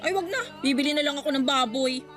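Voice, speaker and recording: An actress playing a snobbish middle-aged neighbour in a Tagalog radio drama, scornful and nitpicking. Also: female voice, sits high, fast talker, a bit bright, soft background music. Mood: disdainful. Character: snobbish, haggling, insulting female neighbour.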